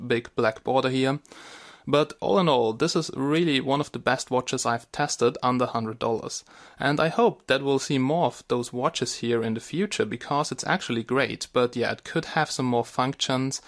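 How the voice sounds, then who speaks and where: Man with a German accent reviewing gadgets. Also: medium pitch. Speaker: tech product reviewer and video host. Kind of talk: casual hands-on gadget review.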